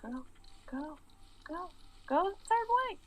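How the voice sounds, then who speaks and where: A woman's voice: very high voice, very animated, slow pace, a crowd in the background. A woman chatting casually during a game stream, making short, teasing remarks. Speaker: friend joining the game stream.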